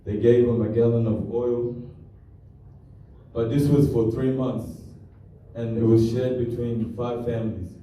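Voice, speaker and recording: A young man born in Kenya and raised in Australia tells his family's refugee story as a halting, plainspoken presentation. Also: mid-range voice, steady tone, warm tone. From born in Kenya to South Sudanese parents, raised in Australia. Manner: halting, restarts often, plainspoken. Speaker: student presenter. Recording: very echoey hall from far off.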